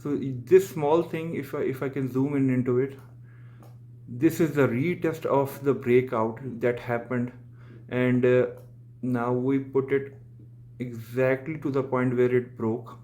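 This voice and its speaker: A young man whose first language is Urdu explains trading charts over a screen share. He hesitates often, restarting phrases and filling pauses, in a voice of middling pitch. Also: fairly even intonation, dark tone. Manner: hesitant, many fillers and restarts. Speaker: crypto trading channel host.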